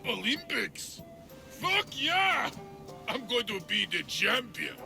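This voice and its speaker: An actor voicing a dim, boastful, childish muscle-bound champion wrestler in a comic video game role, blustering in broken grammar. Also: male voice, extremely high pitch, very animated, slow, creaky, music in the background, full character voice. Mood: excited bluster.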